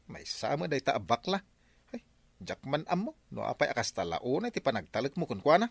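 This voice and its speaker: Casting: woman voicing grumbling village husband, lively pitch swings, dry sound, Filipino Ilocano accent, mocking. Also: brisk, creaky, slightly rough, no room sound, full character voice. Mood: scolding. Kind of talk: Ilocano comedy drama acting.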